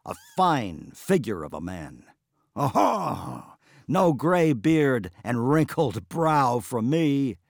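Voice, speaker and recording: A man's voice: deep voice, huge pitch swings, unhurried, hardly any pauses, breathy edge, dry recording, studio quality, voice pushed down, exaggerated voice. A man voicing a boastful, foolish peasant husband in a storybook reading. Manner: boastful, blustering.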